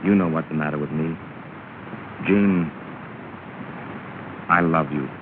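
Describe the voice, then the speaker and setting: A man's voice: a bass voice, slow. An actor playing a proud, stubborn young suitor, earnest with her and curt with rivals, in 1930 film acting. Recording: scratchy, tinny 1930 film soundtrack with hiss.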